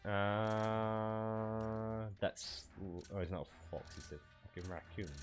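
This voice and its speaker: Congested low-voiced man, rambling. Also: fairly even intonation, slow, music in the background. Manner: rambling, self-correcting, swears casually. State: congested from a cold. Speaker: streamer playing a video game. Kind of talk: casual gameplay commentary.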